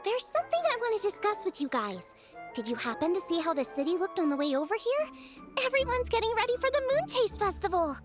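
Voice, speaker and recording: Game casting: eager teen girl chef, chatty, very high and animated, music behind. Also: fast talker. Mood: cheerful, explaining. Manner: chatty, enthusiastic. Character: bubbly, eager teenage girl cook. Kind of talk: video game character acting.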